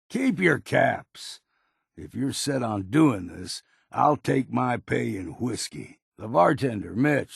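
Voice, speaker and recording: A gravelly, gruff old wilderness guide. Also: male voice, on the low side, no echo, studio quality, full character voice. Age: older. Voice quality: gravelly, husky. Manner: curt, folksy, grudging. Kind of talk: video game character dialogue.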